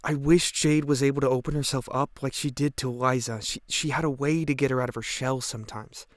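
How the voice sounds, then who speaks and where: A man's voice: medium pitch, fairly even intonation, brisk, no room sound, lightly acted. A young American man playing a caring, thoughtful teenage boy speaking of his friend in a naturalistic audio drama. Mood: fond, wistful.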